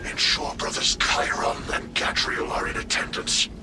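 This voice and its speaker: An actor playing a stern, suspicious military chaplain in a video game, speaking gravely and with menace. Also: male voice, close to an everyday voice. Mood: stern, accusing. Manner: slow, grave, menacing delivery. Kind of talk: dramatic video game acting. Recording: distant roomy sound, loud music.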